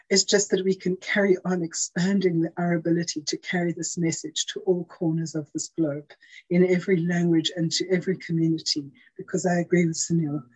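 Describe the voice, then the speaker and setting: A woman's voice: on the low side, slightly rough, low-quality recording. A woman giving an earnest, prepared service talk over a video call. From South Africa. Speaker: outgoing intergroup chair giving a service talk.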